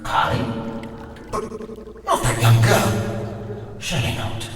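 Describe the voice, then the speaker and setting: An older man playing a haughty, sardonic time-traveling gentleman with old-fashioned courtesy in an audio drama. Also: medium pitch, huge pitch swings, slow, soft background music, exaggerated voice. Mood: puzzled.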